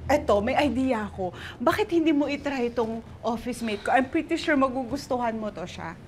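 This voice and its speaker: An actress playing an exasperated, nagging book editor in a romantic comedy, insistent and chiding with a Filipino accent. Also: female voice, on the high side, expressive, fairly quick, a little hiss or hum, natural voice. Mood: teasing, persuasive. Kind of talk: romantic comedy film acting.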